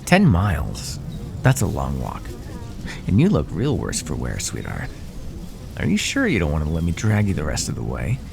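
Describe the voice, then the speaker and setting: Deep, smug snake-man predator, acted by a man. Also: wildly swooping pitch, normal speed, creaky, background noise, full character voice. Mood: casual menace. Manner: mocking, condescending, drawling pet names. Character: smug predatory male naga, teasing and menacing. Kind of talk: ASMR fantasy roleplay.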